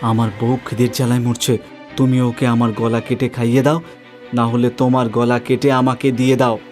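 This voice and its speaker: A man's voice: medium pitch, level tone, moderate pace, a little mellow, faint music behind, close to an everyday voice. A man voicing a bold, determined young husband in a cartoon ghost story, speaking in a declamatory way. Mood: challenging. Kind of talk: animated ghost story dialogue.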